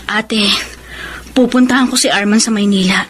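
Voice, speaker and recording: An actress playing a devoted, heartbroken middle-aged wife in a Filipino radio drama, pleading and emotional with a Filipino accent. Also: female voice, medium pitch, fairly even intonation, normal speed, slightly rough, natural voice. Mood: worried, lovesick.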